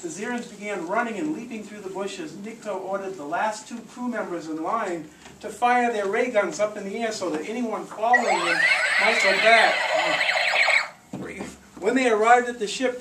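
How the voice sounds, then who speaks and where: A storyteller reading aloud to a live group in a theatrical, encouraging way, with coaching asides. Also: mid-range voice. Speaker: storyteller leading a live audio theatre show. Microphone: handheld camcorder at a distance. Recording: echoey room, distant camcorder mic, noisy.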